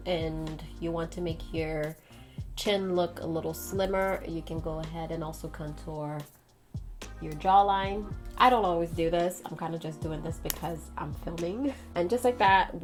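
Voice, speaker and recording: A woman's voice: on the low side, a little mellow. A woman giving a casual makeup tutorial in a chatty, playful, slangy way. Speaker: beauty vlogger.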